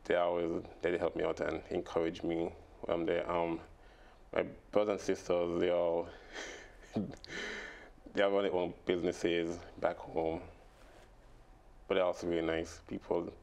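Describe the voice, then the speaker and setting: Brief: young man, very low and gravelly voice, halting and laughing as a radio guest. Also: level tone, a little mellow. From British Virgin Islands. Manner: halting, laughs often, many fillers. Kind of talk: casual radio interview. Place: radio studio.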